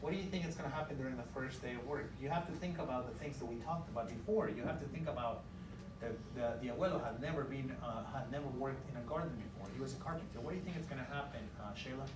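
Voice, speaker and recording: A man's voice: medium pitch, quick pace, recorded from a distance. A man teaching young pupils, reading a storybook aloud expressively and asking questions in an encouraging, emphatic way. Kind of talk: interactive read-aloud, storytelling and questioning children. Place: indoor classroom. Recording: roomy, noisy classroom, recorded from afar.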